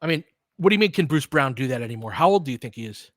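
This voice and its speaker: A man hosts a live basketball audio show in a loose, conversational style. He gives opinions and addresses listeners directly in informal, slangy phrasing. His mid-pitched voice is recorded dry, with very little room sound. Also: animated, rapid-fire, no room sound. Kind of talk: casual live sports discussion with loose play-by-play.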